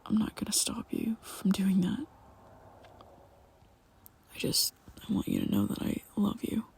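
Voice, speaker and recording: A woman voicing a shy, tender young male vampire best friend in an ASMR roleplay, whispering softly with hesitant, halting speech. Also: medium pitch, huge pitch swings, creaky, breathy edge, full character voice.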